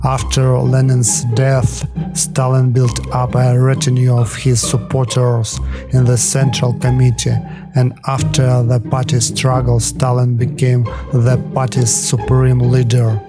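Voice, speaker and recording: A man reading a scripted documentary narration in a measured, even way, steadily working through facts and figures. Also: mid-range voice, over loud music.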